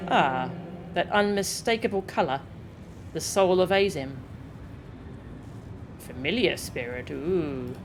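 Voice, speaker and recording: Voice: mid-range voice, animated, slow pace, long pauses, background noise. A game streamer voicing a genial, wistful male ancient shade with a polite, lilting, musing manner. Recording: game music behind the voice.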